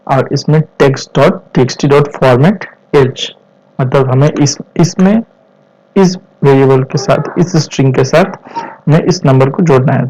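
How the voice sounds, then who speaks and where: A man in his twenties with an Indian accent explains step by step as a tutorial instructor, in halting short phrases mixed with English terms. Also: mid-range voice, warm tone. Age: 20s. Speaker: programming instructor. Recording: dull, lo-fi voice recording.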